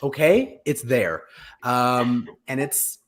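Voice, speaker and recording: A middle-aged man with a medium-pitched voice co-hosts a live chat show from a room at home. His delivery is casual and conversational, with a joking streak. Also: lively intonation, takes it slowly. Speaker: co-host of a pop culture show. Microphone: video call.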